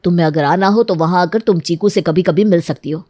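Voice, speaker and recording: A woman's voice: on the low side, a little fast, a little mellow, lightly acted. A woman playing a hurt, resolute young husband and father in a cartoon family drama, stern and reproachful. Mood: angry, resolute.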